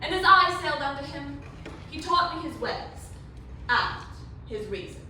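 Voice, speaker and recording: A woman's voice: high-pitched, animated, moderate pace, bright, forceful tone, full character voice. A woman playing an earnest, devoted pirate crew member in a stage readers theatre performance. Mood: reverent. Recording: echoey room, far mic, noisy.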